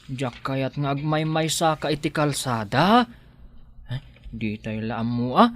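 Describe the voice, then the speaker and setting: Man playing bright-voiced, fussing young husband. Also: medium pitch, animated, slow. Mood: fond, fussing. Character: devoted, anxious young husband and expectant father. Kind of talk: radio drama acting.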